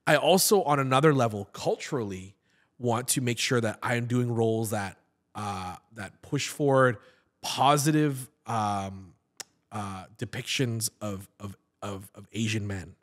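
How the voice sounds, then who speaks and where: A man in his thirties, a guest on a podcast, talking casually in a studio with a boom mic, animated and full of restarts. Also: a little slow. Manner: animated, gesturing, with many repeated words and restarts. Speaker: podcast guest, actor and comedian. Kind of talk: casual interview chat. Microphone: studio mic on a boom stand.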